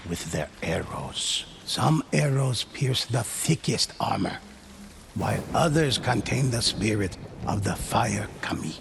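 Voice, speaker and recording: A slow, gravelly legend-teller. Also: male voice, lowish voice, expressive, very noisy, heavily acted. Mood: solemn. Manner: slow, grave, measured delivery. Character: solemn male storyteller narrating legends. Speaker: narrator. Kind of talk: scripted game narration. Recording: game audio with loud music.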